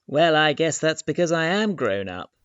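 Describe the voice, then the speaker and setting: A man playing an eager, courteous young gentleman suitor in a period audio drama. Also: on the high side, big pitch swings, moderate pace, smooth, no echo, highs cut off. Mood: cheerful, courteous. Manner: polite, genteel.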